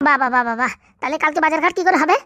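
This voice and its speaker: A woman's voice: high voice, very fast, pushed and bright, no echo, studio quality. A woman playing a bitter, nagging village wife in a comedy cartoon, scolding and complaining sarcastically. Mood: sarcastic scolding.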